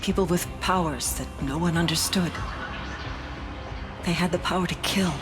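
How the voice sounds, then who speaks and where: An actress playing a steely female military commander, narrating her past gravely and deliberately in a game cutscene. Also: lowish voice, lightly acted. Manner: measured, grave, deliberate pauses. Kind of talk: dramatic first-person game cutscene narration. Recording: music playing under the voice.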